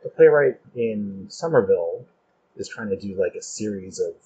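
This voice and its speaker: A man's voice: on the low side, expressive, normal speed, darkish tone. A man hosting a podcast, asking questions and chatting casually. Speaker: podcast host. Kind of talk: casual interview chat. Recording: dull lo-fi recording with faint noise.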